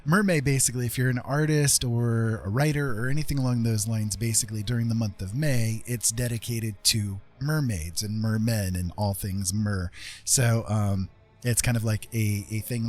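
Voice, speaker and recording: Male stream host, lowish voice, casual and rambling explainer, faint music underneath. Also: normal speed. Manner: meandering explainer, easily sidetracked. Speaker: livestream host and digital artist. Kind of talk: casual chat while drawing. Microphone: stream microphone with windscreen.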